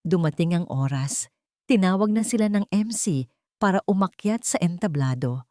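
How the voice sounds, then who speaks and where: A woman with a Filipino accent reading a scripted dramatic story in a measured, theatrical way. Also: fairly low pitch, animated, a little mellow, no echo, studio quality.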